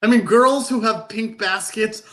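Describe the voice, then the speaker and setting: A man's voice: sits high, measured pace, fairly bright tone. A man playing an incredulous, fixated fellow in a play reading, disbelieving and given to wistful digressions. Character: incredulous, fixated man hearing gossip about an old neighbor.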